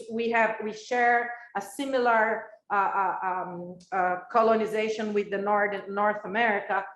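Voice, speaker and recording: A woman's voice: mid-range voice, a bit bright, roomy sound, slight background noise, poor audio. A Brazilian woman whose first language is Portuguese, giving a university lecture in a reflective way with many filler sounds and restarts. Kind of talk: recorded academic lecture.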